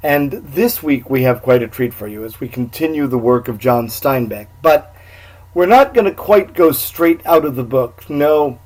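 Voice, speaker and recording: A man's voice: mid-range voice, expressive, moderate pace, a little mellow, rough recording. A man hosting a radio program, delivering a scripted introduction in a presenterly, formal style.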